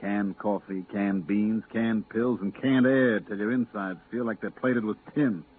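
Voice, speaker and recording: A man's voice: on the low side, steady tone, measured pace, natural voice. An actor playing a weary, bitterly sarcastic man stuck at a moon base, curt and grumbling, in 1950s radio drama style. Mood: sour, sarcastic. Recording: tinny 1950s radio recording, faint music.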